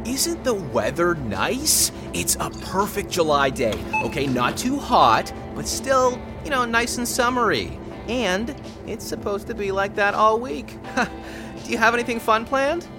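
Bubbly, rambling American cashier, animated delivery. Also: female voice, mid-range voice, measured pace, music in the background. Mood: chatty, upbeat. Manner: bubbly, rambling, filler-heavy small talk. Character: chatty, oblivious small-town store cashier, young woman. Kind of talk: audio drama acting.

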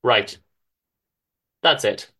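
A man's voice: very slow, sharp tone. A man voicing an aggressive, impatient street mugger with a threatening, barking delivery in a story. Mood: menacing.

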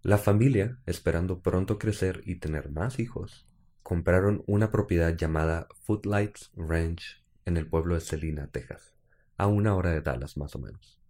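A man's voice: sits low, normal speed, mellow. A man with a Mexican accent co-hosting a casual live stream, joking with his partner and then narrating a true-crime case. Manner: joking, laughs often, uses slang. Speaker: podcast co-host.

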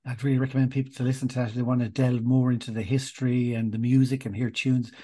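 A man's voice: medium pitch, flat delivery, fast talker, mellow. A man interviewing a guest, asking friendly prompting questions. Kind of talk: casual interview.

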